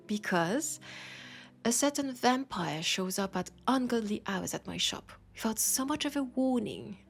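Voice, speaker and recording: French accent, woman playing a tired bookish shopkeeper, very unhurried, soft and breathy, teasing, intimate audio roleplay, background music. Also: fairly high pitch, lively intonation. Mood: tired, wry. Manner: unhurried, pensive, teasing, with sighs and pauses. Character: weary, passionate bookshop owner teasing her vampire customer. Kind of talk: intimate audio roleplay, scripted monologue addressed to listener.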